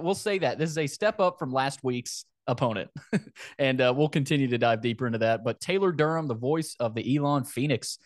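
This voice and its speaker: A man's voice: mid-range voice, dry recording. Male sports podcast host, dry close sound. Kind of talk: casual sports talk.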